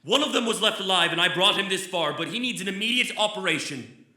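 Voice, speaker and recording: A man's voice: high-pitched, animated, fast talker, sharp tone, exaggerated voice. An actor playing an anxious, weak-willed county doctor in a live old-time radio drama, agitated and hesitant as he pleads. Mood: desperate pleading. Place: theatre stage. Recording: echoey live stage recording.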